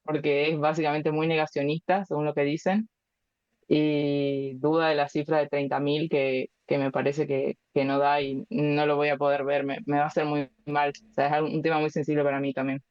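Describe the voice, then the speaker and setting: A woman in her twenties with an Argentine Spanish accent, a guest speaking casually and thoughtfully, with many pauses and fillers. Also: low-pitched, little pitch movement, clean tone, dry recording, lo-fi sound. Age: 20s. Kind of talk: casual interview chat.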